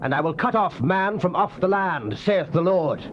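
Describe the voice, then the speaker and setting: An actor playing a fiery prophet proclaiming God's wrath in solemn, archaic, declamatory scripture style. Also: male voice, high-pitched, lively intonation, normal speed. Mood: wrathful, proclaiming. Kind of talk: dramatized scripture reading, archaic King James English. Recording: muffled, noisy old cassette tape.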